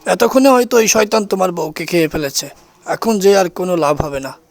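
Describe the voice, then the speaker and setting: A man voicing a scornful, unhelpful villager in a cartoon, with a reproachful, brisk manner. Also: sits high, no echo. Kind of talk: cartoon dialogue.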